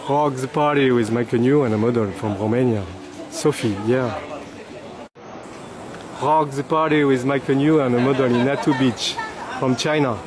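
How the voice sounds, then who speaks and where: A man's voice: medium pitch, normal speed, crowd noise. A man hosting a casual vlog outdoors at a beach, upbeat and repeating a catchphrase. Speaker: vlog host. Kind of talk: casual promo chatter.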